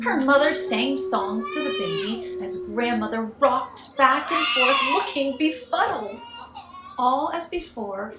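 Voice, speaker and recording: A woman reading a children's story aloud in an expressive, theatrical and deliberate way. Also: sits high. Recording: roomy echo with background noise.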